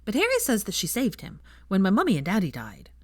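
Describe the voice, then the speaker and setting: Innocent little boy, done by a woman narrator, storybook character, high, earnest, inquisitive, childlike, dry sound. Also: wildly swooping pitch, fast talker, dry recording.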